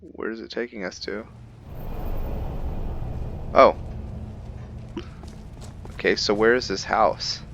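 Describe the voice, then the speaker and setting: Man, gaming commentary, relaxed musing, unhurried delivery, slightly muffled mic. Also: fairly low pitch, gravelly, slight background noise. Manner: relaxed, musing aloud with fillers. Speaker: gaming video host. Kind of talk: casual game commentary.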